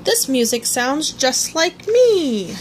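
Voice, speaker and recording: A woman's voice: high-pitched, big pitch swings, slow pace, a little hiss or hum, full character voice. A woman voicing a bouncy, fussy, dramatic male tiger from a children's storybook, with emphatic delivery. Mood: excited.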